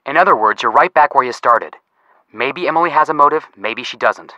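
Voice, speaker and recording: A playful young male sleuth in a video game, sarcastic and teasing. His delivery swings widely in pitch, with a bright tone and a dry, close sound. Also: expressive, a little fast, dry recording, highs cut off. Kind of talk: scripted game voice acting.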